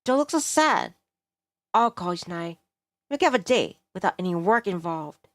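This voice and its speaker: A woman voicing a devoted, reassuring male love interest in a visual novel, gentle and sincere. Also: lowish voice, very animated, normal speed, dry recording, clean studio sound.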